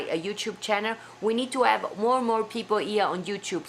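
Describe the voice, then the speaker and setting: A woman's voice: on the low side, fairly bright tone, slight background noise. Chatty Italian-accented woman host. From Italy. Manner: effusive, chatty, with small slips in English. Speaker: live-stream show host. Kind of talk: casual live-stream sign-off. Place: at home.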